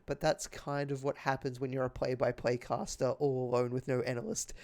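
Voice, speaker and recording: A man's voice: mid-range voice, moderate pace, darkish tone. A man doing live play-by-play esports commentary, earnest and appreciative, his voice a little strained and tiring. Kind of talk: live game commentary and sign-off.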